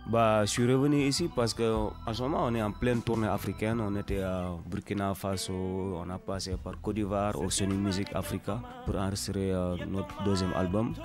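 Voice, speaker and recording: Very low-voiced male musician, music behind. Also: moderate pace, few pauses. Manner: relaxed, rambling with fillers. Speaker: musician guest. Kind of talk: casual interview talk. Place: radio studio.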